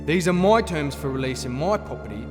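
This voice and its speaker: A man's voice: fairly high pitch, lively intonation, a little fast, natural voice. An actor playing a gleefully cruel nome king in a fantasy audio drama, with a mocking, theatrical delivery. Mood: smug taunting. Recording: long hall reverb, music underneath.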